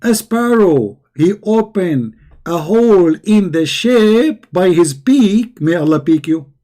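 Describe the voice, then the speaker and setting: A hoarse man who is losing his voice, giving a sarcastic, scornful commentary. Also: sits high, animated, takes it slowly, warm tone. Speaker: religious critic. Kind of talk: mocking video commentary.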